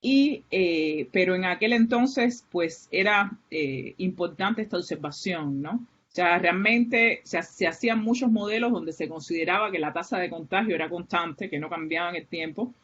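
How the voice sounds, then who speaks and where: A woman's voice: on the low side, normal speed, few pauses, a bit bright. Cuban woman giving a hesitant seminar talk. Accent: Cuban Spanish. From Cuba. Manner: hesitant, many fillers and restarts. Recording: muffled, lo-fi online lecture audio.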